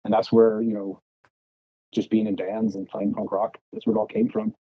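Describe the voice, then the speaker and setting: A man with a low, husky voice chats casually as a podcast guest. He tells his stories with plenty of swearing and voices both sides of conversations, in a dull, lo-fi recording. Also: moderate pace, dry recording. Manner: profane, animated re-enacting of past arguments. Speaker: podcast guest, punk rock guitarist. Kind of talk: casual storytelling chat.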